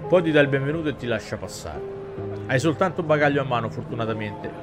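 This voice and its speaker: A man's voice: mid-range voice, expressive, quick pace. A man in his thirties reading a visual novel aloud on stream in a theatrical storyteller way. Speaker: game streamer. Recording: music clearly behind the voice. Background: game music.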